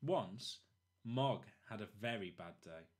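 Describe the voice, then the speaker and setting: A man narrating a children's storybook expressively, in short, deliberate phrases. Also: lowish voice, animated. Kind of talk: expressive children's read-aloud.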